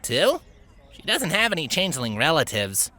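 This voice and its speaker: A woman's voice: sits low, big pitch swings, moderate pace, pushed and bright, voice pushed up, heavily acted. A woman voicing a brash, teasing, tomboyish young pegasus filly with a blunt, dismissive manner for a story. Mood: puzzled.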